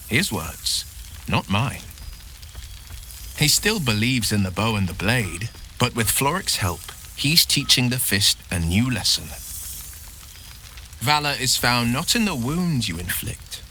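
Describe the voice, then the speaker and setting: An actor playing a loyal, noble-minded adventurer with wry humour and an earnest storytelling manner, in a video game. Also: male voice, medium pitch, bright, forceful tone, slight background noise, full character voice. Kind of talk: video game dialogue acting.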